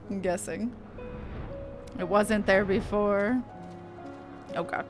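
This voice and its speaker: A woman's voice: mid-range voice, fairly even intonation, slow pace. A woman game streamer giving casual commentary as she plays. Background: game music.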